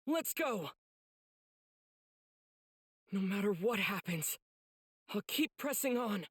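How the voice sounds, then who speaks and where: Anime game hero: earnest teen boy swordsman, very husky and breathy, unhurried, dry studio sound. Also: high-pitched, lively intonation, no room sound, full character voice. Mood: resolute. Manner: earnest, breathy inner monologue bursting into exclamations. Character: earnest, determined teenage boy demon-slaying swordsman. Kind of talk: English video game dub acting, earnest anime hero.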